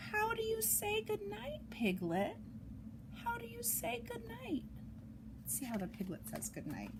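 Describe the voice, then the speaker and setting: A woman reads a picture book aloud to young children in an expressive, sing-song way, adding chatty asides, on an amateur home recording. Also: very high-pitched, a little slow, background noise. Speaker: storytime reader for young children.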